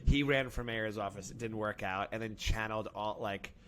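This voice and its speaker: A man's voice: lowish voice, normal speed, fairly bright tone, a little hiss or hum. A man co-hosting a podcast over a video call, speaking in an upbeat, eager way. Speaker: podcast co-host. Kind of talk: casual chat. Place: indoor room at home.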